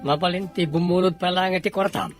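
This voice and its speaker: A woman's voice: on the low side, steady tone, a little fast, close to an everyday voice. A woman playing a pushy, spendthrift younger sibling in a radio drama, talking in an insistent manner. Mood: demanding. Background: background music.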